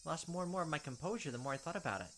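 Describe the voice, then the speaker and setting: Brief: quick-talking man reading a visual novel and joking about it. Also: fairly high pitch, slight background noise. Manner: animated, wry asides between narration. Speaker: let's play host. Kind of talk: reading game text aloud and commenting. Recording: game audio with loud cricket ambience.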